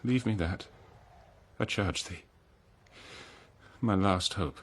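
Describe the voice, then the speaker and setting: A man playing a betrayed, noble king in a classical verse drama, solemn and declamatory, with long pauses. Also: deep voice. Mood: mournful resignation. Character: betrayed noble old-world king, anguished, forgiving husband.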